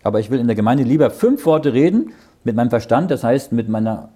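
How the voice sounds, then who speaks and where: Man explaining Bible verses, dark husky voice. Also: mid-range voice, expressive, fast. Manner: reads verses aloud, then explains them in plain words; often checks understanding with "ja?". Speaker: Bible teacher.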